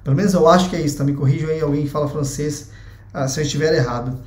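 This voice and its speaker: A man's voice: a little high, steady tone, very fast, darkish tone. A young Brazilian man with a Brazilian Portuguese accent, giving his opinion in a blunt, chatty vlog and swearing freely. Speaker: vlogger. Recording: echoey room.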